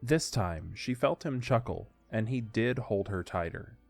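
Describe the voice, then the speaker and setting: A man's voice: fairly low pitch, animated, unhurried, creaky. A man reading scripted narration for an audio drama story. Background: faint music.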